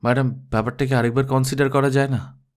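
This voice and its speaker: A man's voice: mid-range voice, little pitch movement, fast, darkish tone, lightly acted. A man voicing a proud senior company manager in an audiobook, with an indignant, self-important manner. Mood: annoyed, indignant. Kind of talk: audiobook character dialogue.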